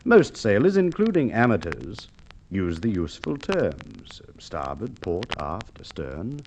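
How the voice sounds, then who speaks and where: An actor playing a shrewd police inspector questioning a suspect, in an old radio drama. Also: male voice, lowish voice, very animated, noisy background. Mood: accusing. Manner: dry, probing, measured. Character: shrewd middle-aged male police inspector. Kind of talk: 1950s radio drama acting.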